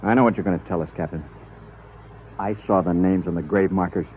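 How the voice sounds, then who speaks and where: A man's voice: deep voice, fairly even intonation, brisk, slightly rough. A man acting in a 1950s radio drama as an indignant, moralizing crewman, vehement and judgmental, on a muffled old recording.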